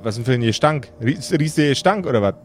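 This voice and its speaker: A man's voice: mid-range voice, expressive, fast, faint music behind, natural voice. A man voicing a brash, grumbling necromancer boss in an improvised tabletop audio play, slangy and coarse, rambling with stammered repetitions. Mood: exasperated.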